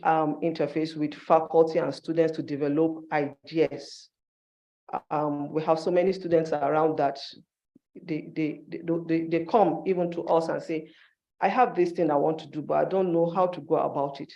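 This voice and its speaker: A man's voice: on the high side, dark tone, lo-fi sound. A man giving an instructive conference presentation in short, emphatic phrases, as a university staff member.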